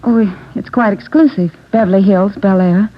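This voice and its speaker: An actress voicing a polite doctor's receptionist in an old radio drama who answers carefully and hesitantly. Her voice sits at a middle pitch. The sound is dull and lo-fi like an old broadcast. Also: normal speed, lightly acted. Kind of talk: 1940s radio drama acting.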